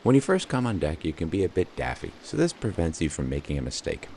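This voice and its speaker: A man with a very deep voice narrates a scripted voice-over about sailing. He keeps an even, measured delivery, lightened by dry, wry asides, and his pitch moves freely across a wide, lively range. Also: very noisy. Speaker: solo sailor and channel host.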